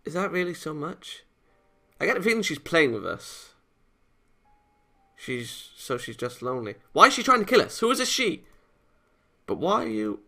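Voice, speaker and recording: Male let's player, bright forceful tone with fry, excitable and a bit tongue-tied. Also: a little high, moderate pace, long pauses. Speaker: let's play host reading a visual novel. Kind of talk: off-the-cuff gameplay commentary mixed with read-aloud.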